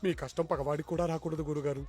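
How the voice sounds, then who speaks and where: A man's voice: a little high, steady tone, rapid-fire, music in the background, close to an everyday voice. A man speaking comic film-style dialogue.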